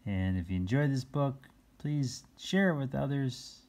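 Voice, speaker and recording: A children's read-aloud host with a husky voice, reading a picture book and adding playful exclamations and explanations for young listeners. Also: mid-range voice, expressive, a little slow, dark tone. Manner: exclaims, gasps, chuckles and explains words to children.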